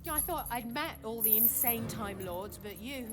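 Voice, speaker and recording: Actress as a defiant, sarcastic captive, higher-pitched. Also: female voice, lively intonation, normal speed, lightly acted. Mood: taunting. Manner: sardonic, needling. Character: defiant sarcastic human woman held captive. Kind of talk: audio drama acting. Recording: music and clinking chains behind.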